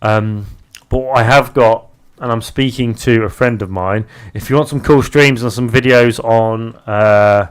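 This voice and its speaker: A young British man streaming live, chatting casually and haltingly as he reads out viewer messages. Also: medium pitch, smooth. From Britain. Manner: rambling, halting, restarts often while reading viewer chat aloud. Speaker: live streamer and game developer.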